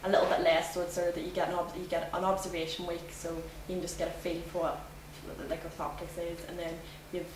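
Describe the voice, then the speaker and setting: A young Northern Irish woman talks through interview answers in a chatty way, her voice sitting a little low. She is heard from a distance in an echoey room, with clear background noise under her. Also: little pitch movement, fast, few pauses. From Northern Ireland. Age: young adult. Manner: chatty, laughs often, many fillers. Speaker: student interviewee. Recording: echoey room, from afar, noisy.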